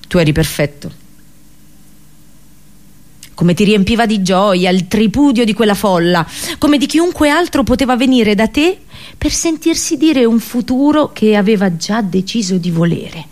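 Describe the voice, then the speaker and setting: An actress playing an accursed princess and prophetess in a radio drama monologue, with a solemn, intense storytelling delivery. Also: female voice, medium pitch, a little mellow. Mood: envious, hushed. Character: Cassandra, bitter accursed Trojan princess and prophetess. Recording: voice over background music. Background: music.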